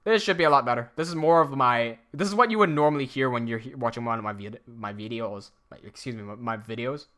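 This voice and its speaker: A man's voice: mid-range voice, fast talker, hardly any pauses. A man with an American accent chatting casually on a live stream, reading out viewer questions and answering them as he goes. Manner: chatty, reads out viewer questions while fiddling with software.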